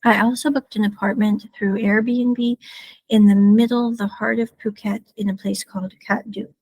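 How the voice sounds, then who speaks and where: A woman's voice: mid-range voice, little pitch movement, normal speed, darkish tone, husky, no room sound, poor audio. A woman hosting a podcast, telling a travel story in a casual, rambling way, often correcting herself mid-sentence. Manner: rambling, self-correcting, chatty asides. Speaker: podcast host.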